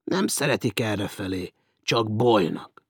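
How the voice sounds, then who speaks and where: A man voicing an old male newspaper seller who chimes in loudly, with a husky voice. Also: lowish voice, animated, dry recording, clean studio sound, heavily acted. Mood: cheeky.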